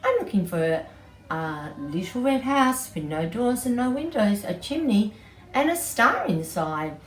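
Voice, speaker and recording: A woman's voice: medium pitch, sing-song, measured pace. A woman voicing a polite, eager little girl from a children's story, pleading in simple phrases. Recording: distant mic, roomy echo, background noise.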